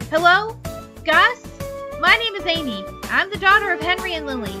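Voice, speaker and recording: Woman as a brisk, practical, high-voiced girl. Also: big pitch swings, music in the background.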